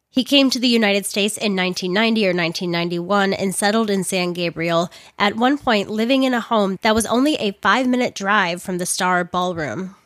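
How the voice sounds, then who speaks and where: A woman, somewhat hoarse from losing her voice, narrating a crime story with earnest personal commentary. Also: medium pitch, no echo, clean studio sound.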